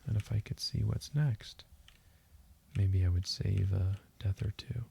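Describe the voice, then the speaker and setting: A man giving calm, soothing game commentary in a hushed, deliberate voice that drifts toward a whisper, as if for sleep. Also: low voice, vocal fry. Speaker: gaming video host. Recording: faint hiss with keyboard and mouse clicks. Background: keyboard typing and mouse clicking.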